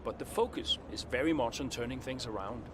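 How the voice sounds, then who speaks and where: A man's voice: mid-range voice, brisk, noisy background, natural voice. An actor playing a weary, insecure and defensive veteran male racing driver in a naturalistic video game cutscene. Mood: composed, guarded.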